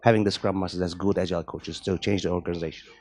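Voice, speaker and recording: A man's voice: low-pitched, level tone, racing, darkish tone. A man from Turkey, an agile coach speaking earnestly while searching for words.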